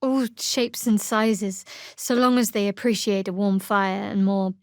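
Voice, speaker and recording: A woman voicing a guarded, secretive young cleric of a dark goddess in a fantasy video game, with a measured, dryly cool delivery. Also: mid-range voice, fairly even intonation, no room sound, clean studio sound, lightly acted. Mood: soft, warm. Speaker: video game character actor.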